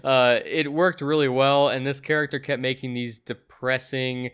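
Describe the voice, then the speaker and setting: A young man with a clean, smooth voice hosts a casual movie discussion. He is chatty and enthusiastic, readily agreeing with his guest in an easygoing conversational style. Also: mid-range voice, steady tone, normal speed, dull audio. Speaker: host of a movie review show.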